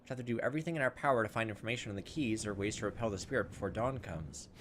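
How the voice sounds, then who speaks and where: A man's voice: lowish voice, rapid-fire, a bit bright. Casual man narrating a game, noisy background. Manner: casual, thinking aloud, slipping between reading and remarks. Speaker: video game playthrough host. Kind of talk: gameplay commentary, reading visual novel dialogue aloud.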